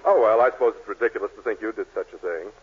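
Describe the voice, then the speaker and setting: An actor playing an exasperated photography boss in a 1940s radio comedy, formal and sarcastic. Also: male voice, on the low side, racing, natural voice. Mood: dry, exasperated. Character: dry, exasperated male photography studio boss. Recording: muffled, noisy 1940s radio recording.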